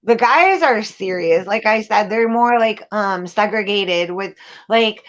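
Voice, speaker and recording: A woman's voice: mid-range voice, measured pace, a bit bright, dull audio. A woman chatting candidly and casually, telling stories in blunt, profane slang. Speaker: talk show panelist recounting prison life.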